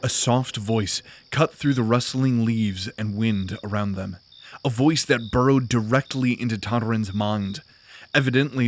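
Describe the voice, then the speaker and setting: Grave male fantasy narrator, medium pitch. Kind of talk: dramatic scripted fantasy narration.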